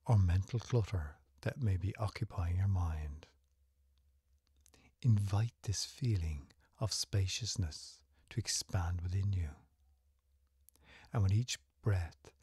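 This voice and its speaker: A man leads a guided meditation in a low, mellow voice that is heavily breathy and often close to a whisper. His delivery is slow, gentle and soothing, with unhurried phrasing throughout. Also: no echo.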